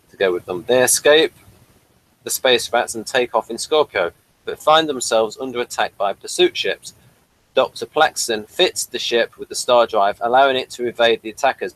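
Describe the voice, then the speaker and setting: A man's voice: medium pitch, fairly bright tone, low-quality recording. Man with a slight speech impediment. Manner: hesitant, with fillers and self-corrections. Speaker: podcast host reading the synopsis. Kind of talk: casual review chat.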